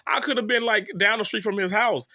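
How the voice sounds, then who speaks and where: A man in his thirties with a high, bright voice, fighting a cough, rambling through a casual story for his viewers. He sounds exasperated and restarts his sentences constantly. The sound is dry and close. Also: a little fast, dry recording. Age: 30s. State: sick with a cough. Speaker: vlogger telling a story. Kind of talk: casual story time monologue.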